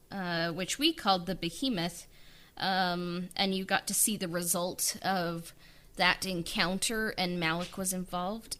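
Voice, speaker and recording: A woman podcast co-host talks slowly about her writing, with a bright, clear voice. She is enthusiastic but pauses often, with frequent fillers. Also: mid-range voice. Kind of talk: casual interview chat.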